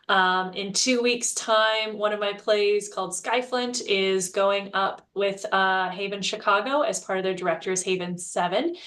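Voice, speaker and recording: A woman's voice: medium pitch, fairly bright tone. Female panel guest, medium register, casual delivery, somewhat distant microphone. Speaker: panel guest, playwright and screenwriter. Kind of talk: casual self-introduction on an online panel. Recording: slightly distant from the mic.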